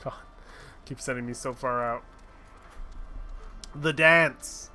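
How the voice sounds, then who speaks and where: A man's voice: medium pitch, fairly even intonation, takes it slowly, sharp tone, faint music behind. A man streaming a fantasy game, chatting casually, joking, swearing and reading quest lines aloud. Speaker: gaming streamer. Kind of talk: casual gameplay commentary.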